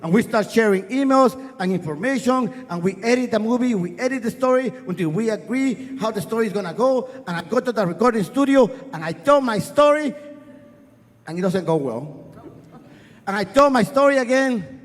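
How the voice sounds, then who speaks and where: A middle-aged man with a Spanish accent and a notably high voice tells a personal story to a live audience. He is earnest and wry, pressing ahead quickly, then slowing down to let a line land. The hall around him rings with a long reverb. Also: animated. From Guatemala. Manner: earnest, wry, speeds up and slows down for effect. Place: festival stage before an audience.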